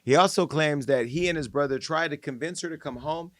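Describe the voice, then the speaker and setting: A man's voice: fairly high pitch, brisk, no echo. A man hosting a podcast, telling a story in a laughing, gossipy way. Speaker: podcast host. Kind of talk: casual chat.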